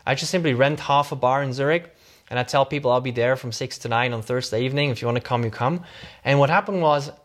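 A man's voice: medium pitch, quick pace. A middle-aged man from Switzerland, a startup CEO answering interview questions in a loose, rambling way with many fillers and restarts.

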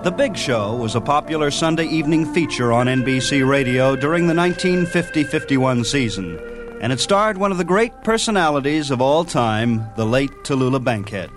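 A man's voice: mid-range voice, expressive, moderate pace. A man hosting a radio show, reading a scripted introduction. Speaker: radio host. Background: music.

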